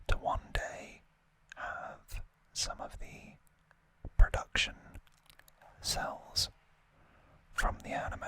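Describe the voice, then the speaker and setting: A man whispering in a hushed, deliberate manner, as an ASMR host chatting through an unboxing. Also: no echo.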